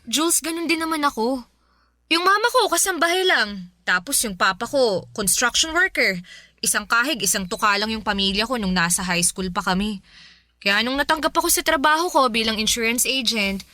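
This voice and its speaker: A woman's voice: on the high side, moderate pace, sharp tone, no room sound, studio quality. An actress playing a young, breezy, generous girlfriend in a Filipino radio drama, with a playful, coaxing, casual way of talking. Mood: earnest.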